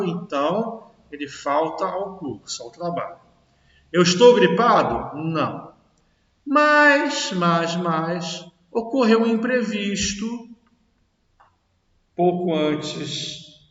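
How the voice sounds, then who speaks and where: A man with a Rio de Janeiro accent teaches a logic class. He talks in a lively way, asks the class questions and answers them himself. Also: fairly high pitch. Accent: Rio de Janeiro Portuguese. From Brazil. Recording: echoey classroom, distant dull mic.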